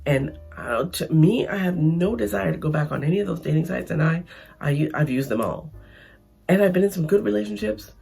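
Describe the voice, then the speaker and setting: Hoarse Canadian woman vlogging. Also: lowish voice, animated, a little fast, dark tone. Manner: chatty, emphatic, frequent restarts. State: losing her voice, hoarse. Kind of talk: casual opinion vlog.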